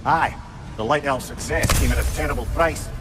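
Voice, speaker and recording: An actor playing a wry, learned old advisor with a Scottish accent and a storyteller's manner in a video game. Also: male voice, mid-range voice, fairly quick, music in the background, natural voice. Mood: knowing. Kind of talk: video game cutscene acting.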